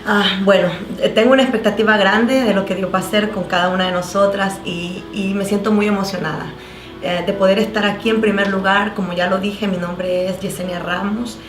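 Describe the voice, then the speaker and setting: A woman pastor from El Salvador with a Salvadoran Spanish accent, giving her testimony on a talk show in an earnest, halting way with many fillers and restarts. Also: medium pitch, fairly even intonation, echoey room, music in the background. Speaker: pastor, guest.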